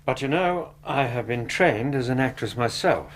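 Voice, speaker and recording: An actor playing a loyal, genial middle-aged gentleman who narrates a radio drama, measured and thoughtful, with an English accent. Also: medium pitch, expressive, a little hiss or hum, natural voice. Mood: measured, reading aloud.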